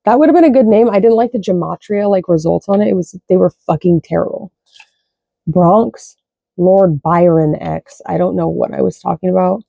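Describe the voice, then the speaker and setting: A woman with a low voice, chatting loosely and rambling as she reads cards, swearing and thinking aloud. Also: moderate pace, vocal fry, warm tone, dry recording, lo-fi sound. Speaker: card reader. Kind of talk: casual rambling spiritual chat.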